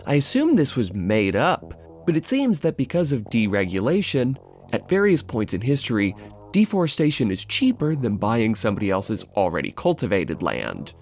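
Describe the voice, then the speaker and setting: A man's voice: medium pitch, huge pitch swings, moderate pace, darkish tone, faint music behind. A man narrating a scripted video essay as its host, in a wry, sardonic, casually sweary way.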